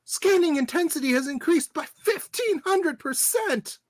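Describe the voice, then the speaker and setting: A woman's voice: very high voice, animated, measured pace, dry recording. A woman playing an alert starship officer in an amateur radio drama, giving technical, matter-of-fact reports. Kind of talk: amateur radio theatre reenactment.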